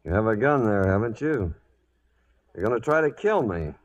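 A stubborn old cattle boss, real low, slow n terse, muffled old radio. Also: male voice, big pitch swings. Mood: grim, controlled. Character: hard, stubborn, vengeful older cattleman. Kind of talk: 1940s radio acting. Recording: muffled 1940s radio broadcast.

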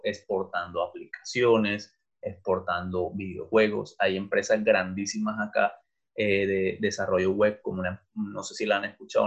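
Man, from Venezuela, middle-aged, lowish voice, casual interview, lots of fillers, dull lo-fi sound. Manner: thoughtful, many fillers and restarts. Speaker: teacher interviewed as guest.

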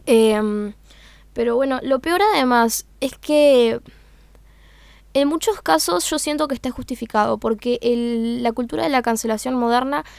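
A woman's voice: a little high, a little slow, gravelly, a little mellow, clear, resonant tone, dry recording. A young woman with a Uruguayan accent, talking through her thoughts in a casual, hesitant monologue full of fillers. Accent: Uruguayan Spanish. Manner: hesitant, searching for words with many fillers. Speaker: meme page creator.

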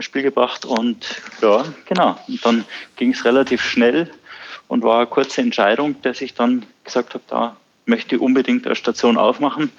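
A man from Bavaria with a Bavarian accent, a podcast guest talking casually and haltingly with lots of fillers. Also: medium pitch, dull audio, a little hiss or hum. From Bavaria, Germany. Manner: relaxed, hesitant with many fillers. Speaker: podcast guest, surf school owner.

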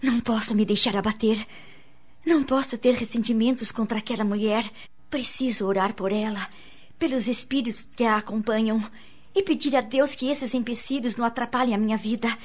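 An actress playing an anxious, pious and emotional wife in a radio soap opera. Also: female voice, fairly high pitch, fairly even intonation, brisk, close to an everyday voice. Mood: pious resolve. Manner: emotional, earnest. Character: anxious, remorseful wife, pious and gentle-hearted. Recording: slightly muffled studio recording.

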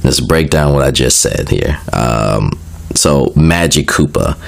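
A man's voice: rumbling low, takes it slowly, creaky. A young man getting over a cold, sounding a little nasal, casually explaining video game lore with lots of fillers, asides and self-corrections. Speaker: video creator.

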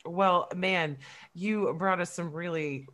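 A woman's voice: lowish voice, slow pace, a bit bright. Profane, laughing podcast co-host woman. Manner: profane, emphatic, laughs often. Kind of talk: casual chat.

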